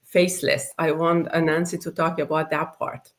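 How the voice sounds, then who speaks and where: Lower-voiced woman hosting a chat. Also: fairly even intonation. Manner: hesitant, halting with fillers. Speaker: host. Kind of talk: casual group chat.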